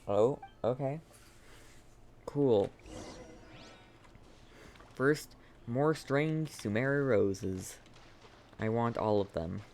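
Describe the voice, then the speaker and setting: A man's voice: mid-range voice, drawn-out words, long pauses, darkish tone, a little hiss or hum. A man streaming a video game, giving casual, easygoing commentary as he thinks aloud. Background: video game sounds.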